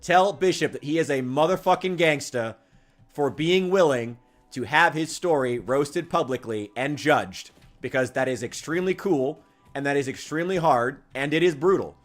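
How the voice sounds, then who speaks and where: A man's voice: fairly high pitch, normal speed. A man livestreaming while gaming, chatting casually and urging his chat on with lots of swearing. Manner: animated and profane, exhorting chat. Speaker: streamer. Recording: music playing faintly behind.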